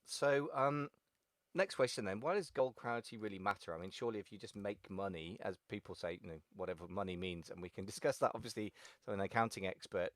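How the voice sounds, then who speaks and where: A man's voice: lowish voice, fast talker, no echo, studio quality. A man hosting a podcast over a video call, chatty and playful, joking with listeners while he interviews his guest. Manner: playful, chatty, jokey openers. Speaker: podcast host.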